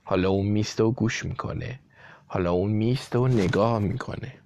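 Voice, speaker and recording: A man's voice: lowish voice, darkish tone, slight background noise, lightly acted. A man voicing a foolish male servant in a storybook tale, earnestly repeating short phrases. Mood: dutiful.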